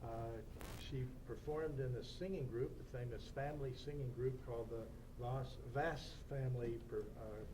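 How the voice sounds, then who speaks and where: A man's voice: medium pitch, steady tone, moderate pace. Man moderating, distant and noisy. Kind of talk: informal spoken introduction of a guest. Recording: distant mic in noisy meeting room.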